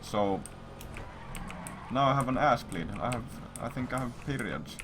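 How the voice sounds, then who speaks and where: A man's voice: mid-range voice, expressive, unhurried, noisy background. A man livestreaming, telling a story in casual, rambling chat with blunt, repetitive, profanity-heavy talk.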